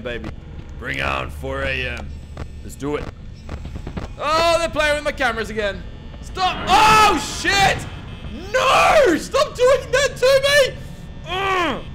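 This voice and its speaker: A young man playing a horror game and recording through a headset at home, muttering to himself and breaking into panicked shouts. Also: high-pitched. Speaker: gaming YouTuber. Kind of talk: horror game let's play. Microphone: over-ear headset.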